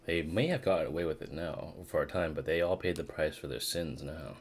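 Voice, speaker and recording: A man's voice: a bass voice, a little fast, a little hiss or hum. A man giving casual, slangy, reactive commentary while streaming a game. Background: game music.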